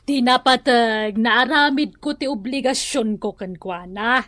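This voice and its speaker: A woman's voice: on the high side, unhurried, fairly bright tone, no echo. An actress playing a long-suffering, protective middle-aged mother in a radio drama, with an Ilocano accent. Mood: indignant. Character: long-suffering, protective village mother, reproachful but loving.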